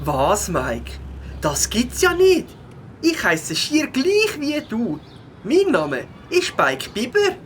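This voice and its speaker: A woman voicing a cheerful little beaver with a slightly husky voice and a Swiss German accent in a children's audio play. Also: fairly high pitch, takes it slowly, a little hiss or hum, exaggerated voice. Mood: delighted. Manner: expressive, exclamatory. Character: cheerful little beaver who loves biking.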